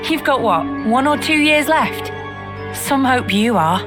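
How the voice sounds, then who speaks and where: A woman's voice: sits high, animated, unhurried. An actress playing a scornful, sneering young woman rebel in a video game. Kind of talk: dramatic video game voice acting. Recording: music behind the voice.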